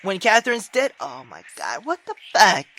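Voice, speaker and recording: A woman's voice: mid-range voice, huge pitch swings, moderate pace, fairly bright tone. A woman playing a visual novel, giving casual, sweary reactions and hesitant thoughts aloud between stretches of reading. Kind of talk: casual reactive commentary and read-aloud narration.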